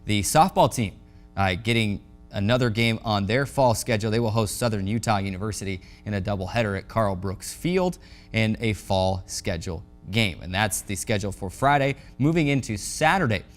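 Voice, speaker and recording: A man hosting a sports show, speaking in a somewhat halting way with repeated words. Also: fairly low pitch, soft background music. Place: studio set.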